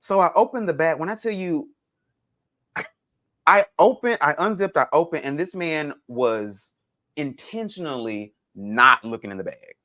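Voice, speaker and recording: A man's voice: on the high side, unhurried. A man chatting casually as a podcast co-host, telling stories in a dramatic way and acting out what was said. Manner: animated, dramatic retelling with acted-out quotes. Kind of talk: casual conversational storytelling.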